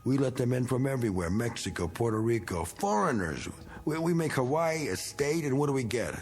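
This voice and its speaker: An actor as a gravelly, boozy middle-aged veteran. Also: male voice, medium pitch, lively intonation, a little fast, a little mellow, faint music behind, lightly acted. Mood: resentful. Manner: rambling, folksy, slangy. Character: boozy, self-pitying, bigoted middle-aged American war veteran. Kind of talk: 1960s television drama acting.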